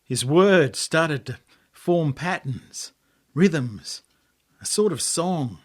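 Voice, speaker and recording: An Australian man reading a story as dramatic narration, in measured, poetic phrases with deliberate pauses. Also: fairly high pitch, expressive, slightly rough. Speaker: author reading own story.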